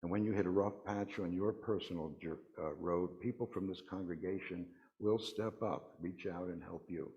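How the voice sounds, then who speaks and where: A man's voice: sits low, fairly even intonation, moderate pace, few pauses, dark tone. A man reading a personal reflection aloud to a congregation at a worship service, in slightly muffled sound. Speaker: congregation member reading a vignette.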